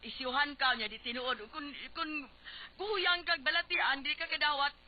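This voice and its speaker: A woman's voice: high voice, normal speed. A woman with a Cebuano accent co-hosting a casual radio show, chatting, greeting listeners and offering advice. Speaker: radio co-host. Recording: dull lo-fi radio recording, faint noise.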